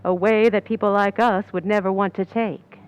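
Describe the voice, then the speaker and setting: A woman's voice: mid-range voice, steady tone, normal speed, muffled recording, a little hiss or hum, natural voice. A woman playing a cool, ambitious, scheming young Hollywood stand-in in an old-time radio suspense play, with hard-boiled, knowing delivery. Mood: guarded, coaxing. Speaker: actress in a community playhouse radio play. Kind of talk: old-time radio suspense acting with first-person narration.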